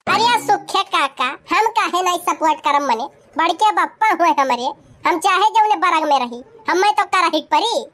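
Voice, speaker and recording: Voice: very high-pitched, expressive, normal speed, pushed and bright, exaggerated voice. A performer voicing a cheeky village boy in a comedy cartoon, with a rustic Awadhi accent from eastern Uttar Pradesh. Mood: agreeable, pleading. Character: cheeky village boy who needles his elders.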